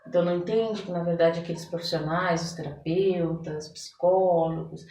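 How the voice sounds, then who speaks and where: A Brazilian woman with a fairly low voice speaks in an explanatory, conversational interview style. She often acts out short quotes to make her point. The sound is echoey, picked up some way from the microphone. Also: fairly even intonation, normal speed, dark tone. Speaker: podcast guest, therapist and oracle card reader. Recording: roomy echo, distant microphone.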